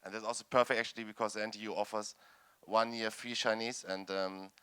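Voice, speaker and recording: A man in his thirties with a German accent addresses an audience in English, his second language. His voice sits low and sounds clean and smooth. He keeps a steady pace, with plenty of "um" pauses. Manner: steady, friendly delivery with frequent "um" fillers. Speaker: student speaker. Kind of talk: presentation to an audience.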